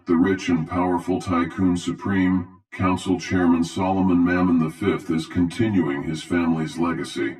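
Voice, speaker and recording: A man's voice: low voice, darkish tone, lightly acted. A man playing a cold, pompous masked herald in an audio drama, making formal, grandiose announcements in a metallic voice over a television. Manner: formal, grandiose, measured proclamation. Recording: distant-sounding metallic television voice.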